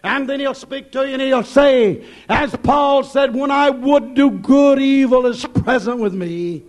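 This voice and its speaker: High-pitched American man preaching with fervour. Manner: impassioned, emphatic, repeating phrases and questions. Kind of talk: fervent revivalist Pentecostal sermon.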